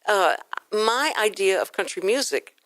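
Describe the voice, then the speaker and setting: A woman's voice: mid-range voice, very animated, measured pace, fairly bright tone, dry recording. A woman from Houston, Texas, hosting a casual radio interview in an effusive, rambling, anecdotal way. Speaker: talk show host. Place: radio studio.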